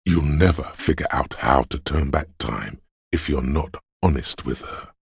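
Game casting: grandiose, sardonic inner voice of endurance, slow and gravel-throated, very dry close sound. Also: male voice, dry recording, heavily acted. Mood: solemn, ominous. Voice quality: very deep, gravelly, husky rasp. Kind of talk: video game voice acting.

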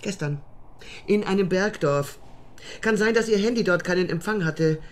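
An actress playing a demanding, businesslike female senior prosecutor with a brisk, official manner in a radio drama. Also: mid-range voice, close to an everyday voice.

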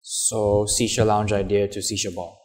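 A man's voice: lowish voice, level tone, moderate pace, a little mellow. Young male host with a Filipino accent. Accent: Filipino English. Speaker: interview host. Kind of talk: casual interview. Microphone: lapel mic.

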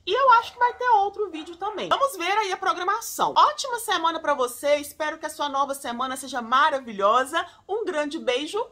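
Lively bright-voiced woman in her forties. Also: very high-pitched, fairly quick, few pauses. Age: 40s. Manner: animated, chatty, often addresses viewers as "gente". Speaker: beauty vlogger. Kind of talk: casual product recommendations to camera. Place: home room, indoors.

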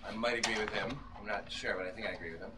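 A man's voice: on the low side, steady tone, rapid-fire, heavy background noise. A man in his thirties speaking as an author at an informal live reading in a small indoor shop. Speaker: author reading from own novel. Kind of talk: informal talk and dramatic novel reading. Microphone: far camera among the audience. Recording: distant camera mic, music playing. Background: music.

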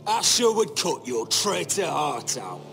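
A stern, embattled young nobleman in a fantasy game. His voice is gravelly and swings widely in pitch, intense and indignant, with faint music behind. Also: very animated, unhurried, fairly bright tone, full character voice. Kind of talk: dramatic video game acting.

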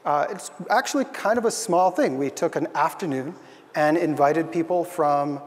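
A man's voice: on the high side, normal speed, long reverb tail. A young man giving an earnest, thoughtful conference talk on a stage, with frequent fillers. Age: young adult. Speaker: conference speaker. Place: stage in a large hall.

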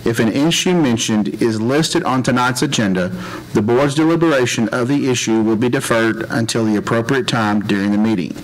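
A man's voice: medium pitch, moderate pace, rough recording. A man presiding over a school board meeting, reading a formal statement aloud in a meeting room. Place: public meeting room, indoors.

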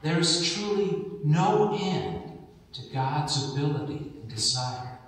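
Slow-speaking American pastor in an echoing church. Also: male voice, a little high, expressive, fairly bright tone. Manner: measured, deliberate phrasing with pauses. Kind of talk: sermon. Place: large church sanctuary, indoors at the altar. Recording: echoey church hall, distant microphone.

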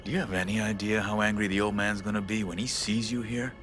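A man playing a dry, wry nomad in a video game, laid-back and gently chiding. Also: on the low side, little pitch movement, fast, soft background music, close to an everyday voice. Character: dry, wry male nomad, old friend. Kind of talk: video game dialogue acting.

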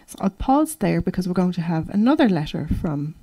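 A middle-aged woman with an Irish accent speaks to a seated room in a conversational way. Her voice is soft-edged and mellow, sitting a little low for a woman. She sounds like an author explaining her research. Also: sing-song, brisk. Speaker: author giving a talk. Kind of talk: public literary talk, conversational. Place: indoor library room before a seated audience.

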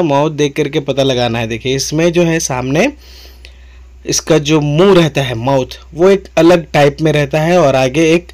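A man with an Indian accent giving an informal product demonstration, explaining in a repetitive way and mixing in English words. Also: fairly high pitch. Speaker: tool seller demonstrating products.

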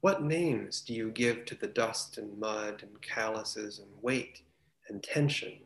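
A man in his thirties, a pastor, giving a calm, questioning sermon reflection over a video call from a room at home. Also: lowish voice, slow, reverberant. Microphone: earphone mic on a video call.

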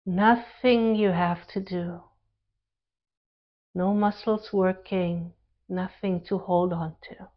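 Slow-paced woman guiding a relaxation meditation. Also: fairly low pitch, muffled recording. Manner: calm, gentle instruction in short phrases with pauses. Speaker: guided meditation instructor.

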